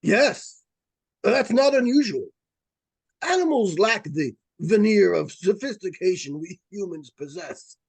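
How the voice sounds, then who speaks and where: A man as a slow, high-pitched, husky spirit expert. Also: big pitch swings, no room sound, full character voice. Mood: solemn, pedantic. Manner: solemn, precise, correcting. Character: earnest, pedantic doctor, expert in psychic phenomena. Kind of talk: 1940s radio drama acting.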